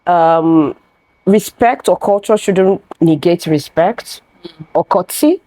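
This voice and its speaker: A woman gives her view in a relaxed chat among friends. Her voice sits low and sounds warm and mellow. She speaks in a measured, thoughtful way, and the sound is close and dry. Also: dry recording. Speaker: guest.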